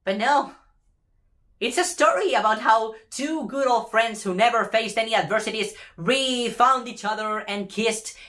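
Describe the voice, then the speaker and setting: Female fan commentator with a fairly high voice. Impassioned, exasperated opinion rant, emphatic and informal. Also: unhurried, a bit bright. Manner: emphatic, exasperated, repeats phrases for effect; casual speech with swearing.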